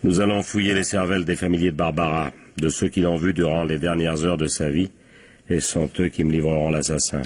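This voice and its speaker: An actor playing a shrewd, confident police captain in a French radio crime drama, methodical, probing and wryly polite. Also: male voice, deep voice, little pitch movement, brisk. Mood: confident, analytical.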